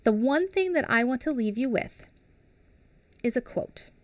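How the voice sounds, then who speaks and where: A woman's voice: fairly high pitch, no echo. A woman in her thirties, a teacher reading a picture book aloud to young children on a headset mic and stopping to explain things in simple words. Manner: animated and expressive, explaining slowly to young kids. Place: small home studio with a classroom wall behind her.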